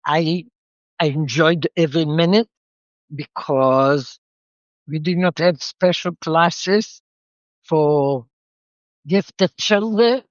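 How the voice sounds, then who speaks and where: Slow-spoken older woman. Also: sits low, dry recording, highs cut off. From Israel. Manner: reflective, unhurried storyteller. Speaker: guest. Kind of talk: interview storytelling.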